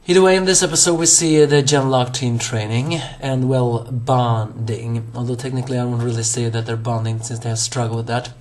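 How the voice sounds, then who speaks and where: Hoarse, rambling young man reviewing. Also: mid-range voice, steady tone, a little mellow. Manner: rambling, halting, many fillers and restarts. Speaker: anime reviewer. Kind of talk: solo episode review.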